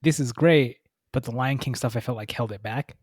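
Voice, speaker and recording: A man co-hosting a casual movie review podcast, relaxed and storytelling. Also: mid-range voice, normal speed, no echo, studio quality. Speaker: podcast co-host.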